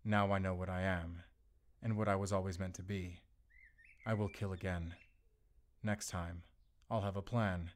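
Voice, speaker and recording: A man voicing a low, brooding werewolf killer. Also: steady tone, lightly acted. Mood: ominous, resolute. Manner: solemn, deliberate, ominous. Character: male murderous werewolf diarist, brooding, fanatical, misanthropic. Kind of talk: dramatic journal monologue in audio drama.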